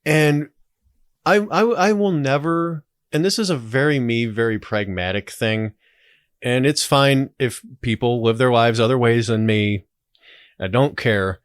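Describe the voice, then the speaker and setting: A man's voice: medium pitch, unhurried, smooth, no room sound, studio quality. A man in his forties talking casually as a podcast guest, reflective and opinionated. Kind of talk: casual conversational interview.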